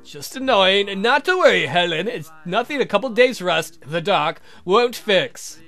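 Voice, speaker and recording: A man's voice: high voice, animated, moderate pace, vocal fry, sharp tone, soft background music, exaggerated voice. A man voicing a courtly, exasperated vampire count with dry wit and theatrical formality in a visual novel. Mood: polite.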